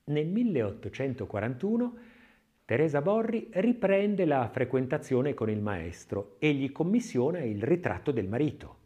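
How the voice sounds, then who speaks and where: Expressive male narrator with lively pitch swings. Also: normal speed, muffled recording. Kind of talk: scripted audiobook narration, art-history essay.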